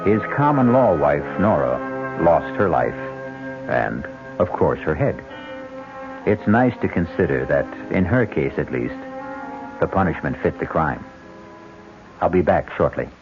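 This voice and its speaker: A man hosting and narrating a 1970s radio mystery in a measured, wry way, on a tinny broadcast. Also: extremely low pitch, very animated. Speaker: host narrator. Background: music.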